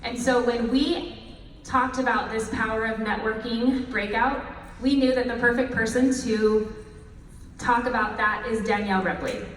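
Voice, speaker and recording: Upbeat woman presenter, fairly high voice, recorded from a distance in a reverberant hall. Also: measured pace, a little hiss or hum. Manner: upbeat, motivational. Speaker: session host. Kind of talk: presentation to an audience. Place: large echoey hall.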